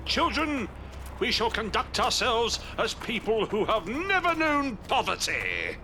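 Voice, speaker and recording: An actor playing a pompous, newly rich older Victorian father in an audio drama, grandiose and formal in his halting delivery. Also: sits high, huge pitch swings, pushed and bright, a little hiss or hum, full character voice. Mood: pompous, lofty.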